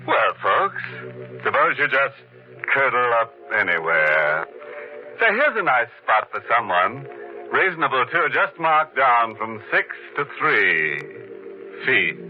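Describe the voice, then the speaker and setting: An American man playing a gleefully macabre horror host in a theatrical 1940s radio style, with a mock-genial, drawn-out delivery. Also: medium pitch, sing-song, faint music behind. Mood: ghoulish glee. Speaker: host and narrator. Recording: muffled vintage 1940s radio broadcast.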